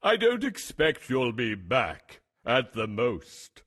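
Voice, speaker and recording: An actor playing a proud, hungry male lion king who booms, roars and laughs mockingly. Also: huge pitch swings, takes it slowly, fairly bright tone, full character voice. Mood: haughty. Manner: booming, mocking laughter, roaring. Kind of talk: storybook voice acting.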